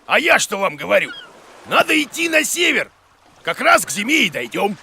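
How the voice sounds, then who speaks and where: An actor voicing a boastful, bumbling bearded man who plays teacher and guide in an excitable, blustering way in a children's cartoon. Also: high-pitched, moderate pace, slight background noise, heavily acted. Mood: self-assured. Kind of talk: animated children's cartoon acting. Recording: background music behind the voice.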